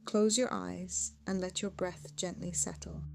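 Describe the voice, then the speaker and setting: A woman narrating a guided meditation in a soothing, measured, hypnotic way, with phrases trailing off into a hush. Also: on the low side, fairly even intonation, gravelly. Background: music.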